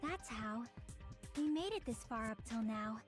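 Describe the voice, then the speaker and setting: Young student girl, high-pitched, gentle, trusting, earnest, game dialogue, prominent background music. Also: lively intonation, unhurried. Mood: thoughtful, encouraging. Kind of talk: video game voice acting.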